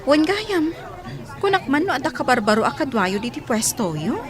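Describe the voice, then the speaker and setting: A woman's voice: sits high, very animated, moderate pace. A woman playing a teasing, nosy young confidante in an Ilocano radio drama, with a crowd behind her.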